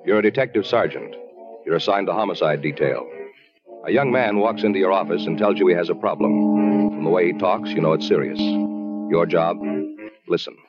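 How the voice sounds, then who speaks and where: Male announcer, very low and solemn, vintage radio narration over loud music. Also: normal speed, long pauses. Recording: dull vintage radio recording. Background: loud dramatic music.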